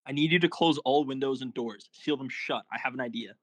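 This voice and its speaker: A man's voice: mid-range voice, fairly quick, no room sound, lightly acted. A man playing an awkward, level-headed young partygoer in a film table read. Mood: determined.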